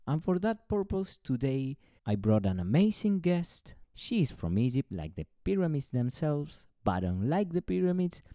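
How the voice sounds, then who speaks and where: A man's voice: mid-range voice, warm tone, no echo, clean studio sound. A man hosting a podcast interview, joking and theatrical, with mock asides to himself. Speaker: podcast host, student ambassador. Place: Netherlands.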